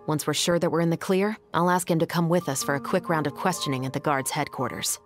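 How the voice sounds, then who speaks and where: A woman's voice: low voice, fairly even intonation, quick pace, over music, close to an everyday voice. A woman playing a dutiful, composed young musketeer captain with a formal, authoritative manner in an anime video game. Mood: businesslike.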